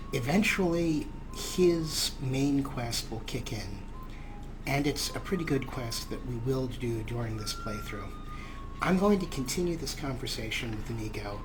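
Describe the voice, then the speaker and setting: A man's voice: mid-range voice, noisy background. A man hosting a game playthrough, reading dialogue choices aloud and adding reflective, explanatory commentary. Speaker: let's play host. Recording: game music behind the voice.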